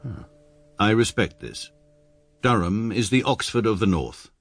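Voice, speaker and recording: A man's voice: fairly low pitch, big pitch swings, unhurried, full character voice. An English actor in his thirties narrating as a pompous, precise barrister in a radio drama, dry and self-satisfied. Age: 30s. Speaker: narrator and lead.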